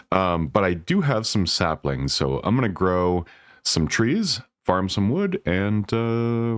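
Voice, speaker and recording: A man casually talking through his game build, relaxed and rambling, correcting himself and laughing softly, a bit congested. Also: low voice. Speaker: Minecraft builder and channel host. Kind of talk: casual gameplay commentary.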